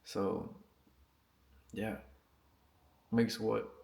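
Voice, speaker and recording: A man's voice: on the low side, fairly even intonation, extremely slow, creaky, a little mellow. A man who speaks English as a second language, chatting casually and unscripted to camera as a vlogger, in an informal, slangy, sweary and earnest way with frequent check-ins. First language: not English. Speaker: vlogger and music producer.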